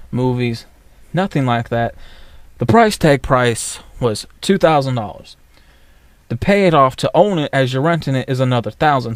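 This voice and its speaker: A man's voice: medium pitch, dry recording. A man from the United States ranting in a casual vlog, blunt and profane, rambling with many fillers. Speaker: vlogger.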